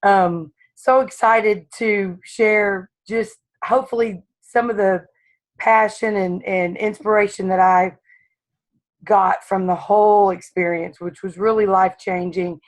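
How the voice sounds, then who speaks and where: Raspy-voiced woman, freshly woken, earnest caller with halting speech, mid-pitched. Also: fairly even intonation. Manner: halting, earnest, many restarts. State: just woke up. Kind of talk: casual sharing on a call.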